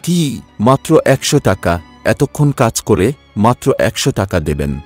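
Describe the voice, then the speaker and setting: A man voices a poor, weary husband who works as a day labourer in a cartoon tale. His voice is fairly low, with a humble, plaintive manner. Faint music plays behind him. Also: big pitch swings, moderate pace, darkish tone. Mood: dismayed. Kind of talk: cartoon story voice acting.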